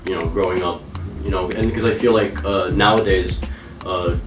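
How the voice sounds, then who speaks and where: A teenage high school student interviewing a guest for a school project, halting with many fillers and restarts. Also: male voice, steady tone, roomy sound, distant mic, over music. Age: teens. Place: recording studio.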